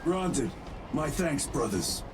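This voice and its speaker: Terse, resolute veteran soldier. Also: male voice, a little high, big pitch swings, takes it slowly, over loud music. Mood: grim, steady. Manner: terse, clipped, grave. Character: stoic, resolute veteran soldier officer, duty-bound and self-sacrificing. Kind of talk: dramatic video game cutscene acting.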